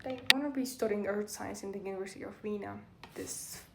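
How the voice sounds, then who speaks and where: A woman's voice: mid-range voice, fairly quick, dark tone, a little hiss or hum. A young woman with a Mongolian accent, a native Mongolian speaker, casually reading aloud and commenting in a rambling, self-correcting way as a vlogger. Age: young adult.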